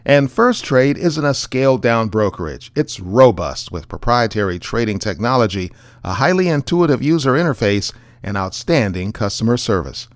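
A man's voice: mid-range voice, big pitch swings, moderate pace, no echo, clean studio sound. A man hosting a podcast, reading an upbeat advertisement and signing off. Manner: upbeat, emphatic sales delivery. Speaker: podcast host. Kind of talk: read advertisement and sign-off.

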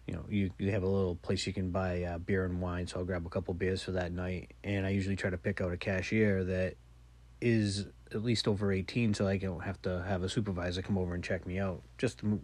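A man's voice: low voice, level tone, a little fast, vocal fry, a little mellow, slightly rough. A man in his forties telling rambling, self-mocking stories on his podcast, swearing casually. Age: 40s. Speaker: podcast host. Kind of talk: casual solo storytelling.

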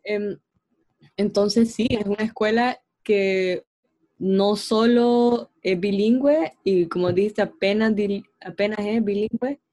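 A woman's voice: medium pitch, steady tone, takes it slowly, mellow. A young woman with a Honduran Spanish accent, reflecting thoughtfully in casual conversation. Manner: reflective, thoughtful, uses voseo and fillers.

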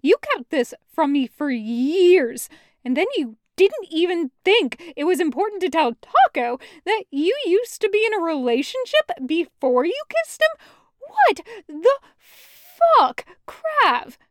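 A woman voicing a furious, hurt woman confronting a friend, with forceful, sweary, accusing outbursts in an audiobook. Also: very high-pitched, sing-song, slow, no room sound, clean studio sound, pitched above the performer's own voice, exaggerated voice. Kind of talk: audiobook character acting.

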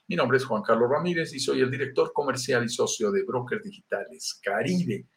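A man's voice: mid-range voice, moderate pace. A middle-aged man with a Colombian accent, presenting a live online talk on property investment, explaining step by step to the viewer with frequent "eh" fillers. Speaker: real estate investment presenter.

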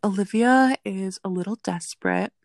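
A woman's voice: mid-range voice, normal speed, no room sound, clean studio sound. A woman with a Minnesotan accent co-hosting a podcast in casual, giggly chat, often trailing off with "like". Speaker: podcast co-host.

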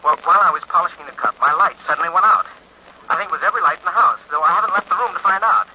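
Fast, high-pitched frightened man. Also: husky. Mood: frightened, urgent. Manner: anxious, rattled, urgent. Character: nervous, frightened man pleading with a friend. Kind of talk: 1940s radio drama acting. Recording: muffled, hissy old radio recording.

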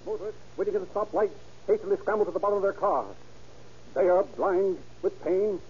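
A man narrating a 1930s radio crime drama with urgent, dramatic delivery. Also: sits high. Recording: muffled old radio broadcast with loud hiss.

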